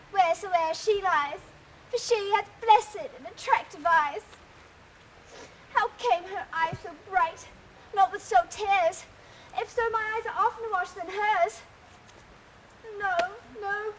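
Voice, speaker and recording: A spurned young woman, played by a woman, pleading in tearful old verse. The voice is very high, and clear outdoor noise runs behind her. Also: a little slow, rough recording. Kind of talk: Elizabethan verse drama.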